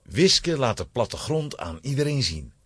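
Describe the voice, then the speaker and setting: A man's voice: medium pitch, big pitch swings, moderate pace, fairly bright tone, breathy edge. A man narrating a scripted children's adventure story in a dramatic style.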